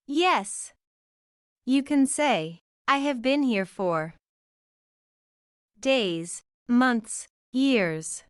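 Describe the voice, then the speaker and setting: A woman leads a beginner English lesson in a high, bright voice. Her pitch jumps about as she speaks slowly and clearly. The sound is dry and close, with quiet music behind her. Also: animated, no echo. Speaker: English teacher.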